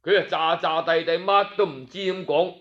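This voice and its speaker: A man with a Cantonese accent narrating a story in colloquial traditional style, expressive and drawing out his sentence endings. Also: a little high. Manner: expressive, with drawn-out particles. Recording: slightly dull, lo-fi audio.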